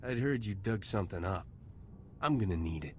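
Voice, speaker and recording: A man's voice: low-pitched, expressive, a little fast, slight background noise. An actor playing a terse, tough male mercenary hero in a video game. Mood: menacing, terse.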